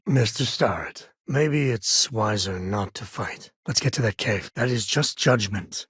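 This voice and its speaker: A man's voice: fairly low pitch, unhurried, fairly bright tone, husky, no room sound, clean studio sound, full character voice. An actor playing a rugged, easygoing space ranger and protective father in a video game, with a folksy, wry, conversational manner. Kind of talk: video game character dialogue.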